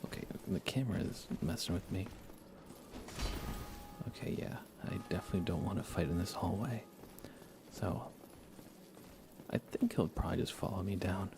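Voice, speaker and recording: Low, gravelly man streaming softly. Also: moderate pace, long pauses, background noise. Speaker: video game streamer. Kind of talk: soft-spoken ASMR live game commentary.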